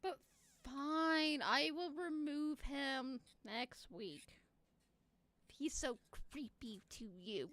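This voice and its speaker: A woman's voice: high voice, extremely slow, fairly bright tone. A woman co-hosting a live stream, teasing and giggling through playful banter. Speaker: stream co-host.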